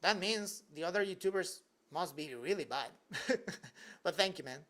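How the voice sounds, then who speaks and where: A man's voice: high voice, animated, a little slow, a bit bright. Joking young man streaming. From Venezuela. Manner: loose and joking, heavy use of "like". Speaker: YouTuber answering live chat. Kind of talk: casual livestream Q&A. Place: home bedroom at his desk.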